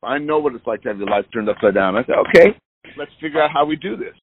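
A man's voice: fairly high pitch, sing-song, fast, breathy edge, no room sound. A man in his sixties, a musician being interviewed, talking in a loose, candid, rambling way. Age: 60s. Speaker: musician guest. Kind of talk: casual interview chat. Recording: dull lo-fi line.

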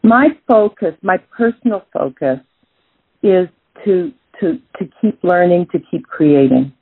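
Canadian jazz singer lady, middle-aged, husky, lots of ums and restarts, phone-ish audio. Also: lowish voice, animated, a little slow, dry recording. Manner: thoughtful, many restarts and fillers. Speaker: jazz singer, interview guest. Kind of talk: casual interview answers. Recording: tinny phone-like line.

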